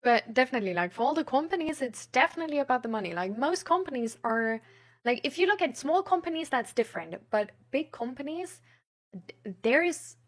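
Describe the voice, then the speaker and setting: A woman's voice: sits high, sing-song, fairly quick. A woman in casual, rambling chat, thinking aloud in an informal way.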